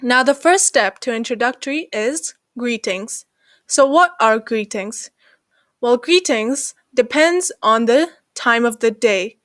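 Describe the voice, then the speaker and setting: A woman narrating an English lesson as the channel host, slowing down to read example sentences. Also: fairly high pitch, lively intonation, fairly bright tone, dry recording, studio quality. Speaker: English teacher.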